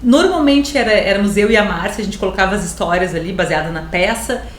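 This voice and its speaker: A woman's voice: medium pitch, fast, reverberant, a little hiss or hum. A woman professor and co-writer explaining earnestly, in an interview. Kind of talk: interview talk.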